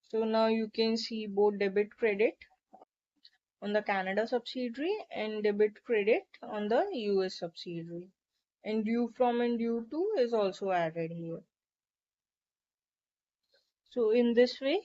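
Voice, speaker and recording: A woman narrating a step-by-step software tutorial in an instructional, explanatory way. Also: a little high. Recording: dull, lo-fi microphone sound.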